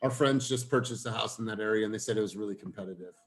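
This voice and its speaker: A man's voice: lowish voice, rapid-fire. A man leading a sales training meeting in casual, informal talk, calling people "man" and "bro". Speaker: team leader running a sales training meeting.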